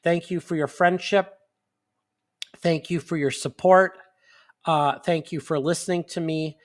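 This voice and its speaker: A man hosts a monthly live stream from what sounds like a home desk setup, talking slowly and loosely with plenty of fillers and restarts. He is sniffly, and his voice sits a little high for a man. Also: steady tone. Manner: rambling, with many fillers and restarts. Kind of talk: casual live-stream chat.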